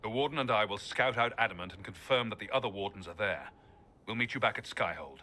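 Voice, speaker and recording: Low, forceful, brooding hero. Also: male voice, animated, quick pace. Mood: bitter. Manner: blunt, brooding. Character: grim, bitter male hero, hard on mages. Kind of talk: fantasy game dialogue acting.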